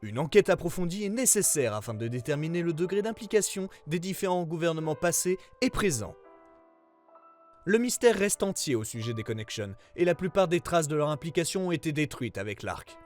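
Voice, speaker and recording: A man playing a government investigator who reads out a formal case report in a serious, measured way, as scripted narration. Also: fairly high pitch, expressive, darkish tone, faint music behind, natural voice. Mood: grave, formal.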